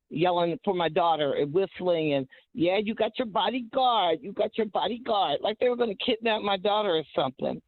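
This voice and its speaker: A woman calling in to a talk show, loud and chatty as she rambles through her stories. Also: on the low side, no echo. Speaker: caller. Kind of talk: call-in storytelling. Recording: dry tinny phone line.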